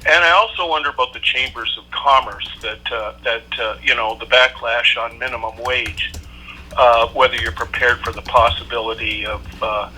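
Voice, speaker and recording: Halting man, sharp bright voice. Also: mid-range voice, fairly even intonation. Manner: halting, heavily hesitant, circling phrasing. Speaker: reporter. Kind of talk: asking questions on a press conference call. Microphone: telephone. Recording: bright noisy telephone conference line.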